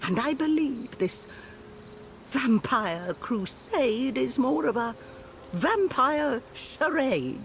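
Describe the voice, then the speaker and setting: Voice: sits high, animated, slow pace, a bit bright, slight background noise, heavily acted. An actor voicing an ancient talking owl in a video game, wry and cryptic, with folksy, theatrical musing. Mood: amused, dismissive. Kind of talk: video game cutscene acting.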